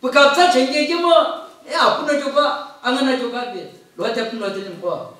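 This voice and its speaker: A man's voice: very high-pitched, sing-song, moderate pace, bright, forceful tone. A middle-aged man preaching a sermon emphatically at a pulpit microphone, repeating his phrases. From Nagaland, India. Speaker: pastor, preacher. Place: indoor church hall, at a pulpit. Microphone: microphone on a stand at the pulpit. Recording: echoey hall, picked up from a distance.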